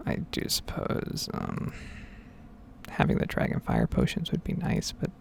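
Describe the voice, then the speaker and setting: A woman giving whispered and soft-spoken ASMR game commentary in a breathy, rambling, unhurried way. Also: a little hiss or hum. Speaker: gaming ASMR video creator.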